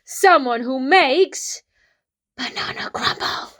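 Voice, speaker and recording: A woman's voice: high voice, lively intonation, slow pace, a bit bright, full character voice. A woman playing an earnest young amateur detective, explaining a case theatrically in an audio drama. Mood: dramatic, confident.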